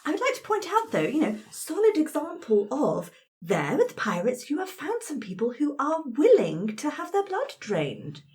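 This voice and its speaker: A woman's voice: sits high, wildly swooping pitch, moderate pace, darkish tone. A woman improvising casually as a player in a tabletop roleplaying game. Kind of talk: casual in-character improvised chat.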